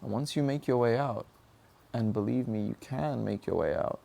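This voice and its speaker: A man's voice: mid-range voice, lively intonation, normal speed, vocal fry, warm tone. A young adult man giving an earnest, searching monologue about his own struggles, often asking himself questions. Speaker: speaker sharing personal reflections. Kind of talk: reflective motivational monologue.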